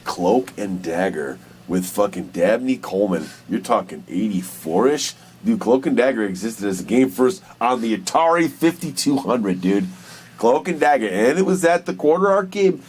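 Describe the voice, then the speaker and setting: A man's voice: lowish voice, expressive, normal speed, darkish tone, a little hiss or hum. A man chatting casually about films and games in an emphatic, argumentative way, with frequent swearing.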